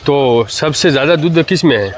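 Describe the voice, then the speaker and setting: A man hosting a farm video, eagerly asking a farmer questions outdoors on a dairy farm and murmuring quick agreement as he listens. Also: fairly high pitch, lively intonation, background noise. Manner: eager, repeats "ji ji ji" softly while listening. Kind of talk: on-site interview about dairy animals.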